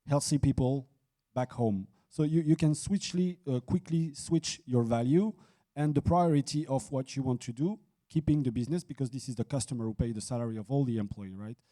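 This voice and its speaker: A man speaking on a business conference panel as a site leader, halting, with many restarts and fillers. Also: mid-range voice, warm tone. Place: conference hall.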